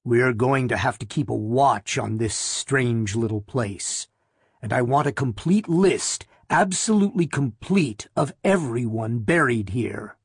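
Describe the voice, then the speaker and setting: Husband, audiobook: intent, watchful, terse and firm, slightly husky; man reading the part. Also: mid-range voice, animated, measured pace, no room sound, clean studio sound. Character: Sebastian, intent, watchful husband who senses the reawakening dead. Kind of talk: audiobook character voice.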